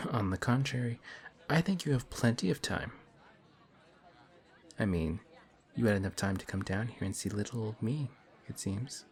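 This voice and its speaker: A man voicing a suave male villain who teases a hero in an audio roleplay, slow and taunting. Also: fairly low pitch, animated. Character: smug suave male villain, teasing and calm. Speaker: voice actor.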